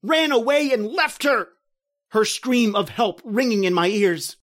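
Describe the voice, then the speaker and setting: A man's voice: sits high, expressive, unhurried. Male actor for a ghostly narrator, old radio drama, intense and desperate. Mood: panicked. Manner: intense, rising to panicked shouting. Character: terrified man narrating his own death.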